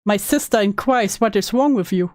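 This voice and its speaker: A woman's voice: mid-range voice, expressive, normal speed, a little mellow. A woman hosting a reaction video with casual, mocking commentary and sarcastic repetition of phrases.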